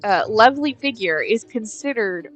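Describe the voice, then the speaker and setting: A woman's voice: on the high side, expressive, moderate pace, soft background music. A woman chatting casually as a guest in a voice chat, hesitant with lots of "uh"s and dry little interjections.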